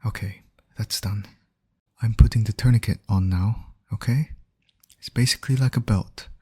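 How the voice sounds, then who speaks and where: A man acting as a calm, caring medic in an ASMR roleplay, gently reassuring a patient and explaining each step. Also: lowish voice, moderate pace, slight background noise, natural voice. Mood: gentle, businesslike. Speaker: voice actor in roleplay audio.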